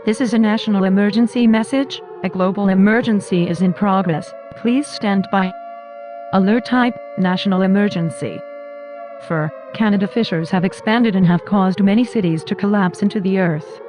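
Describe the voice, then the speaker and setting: A woman's voice: mid-range voice, normal speed, a little mellow. A woman's synthetic text-to-speech voice reading scripted emergency broadcast announcements in a formal, impersonal, clipped manner.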